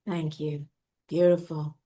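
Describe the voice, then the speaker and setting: A woman hosting a podcast over a video call, soft-spoken and effusive in her praise. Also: low voice, flat delivery, takes it slowly. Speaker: podcast host. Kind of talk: casual interview chat.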